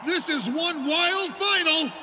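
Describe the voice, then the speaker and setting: An actor playing a bemused co-commentator in an anime dub, musing without hurry over the match. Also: male voice, very high-pitched, slow pace, muffled recording, noisy background. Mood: puzzled.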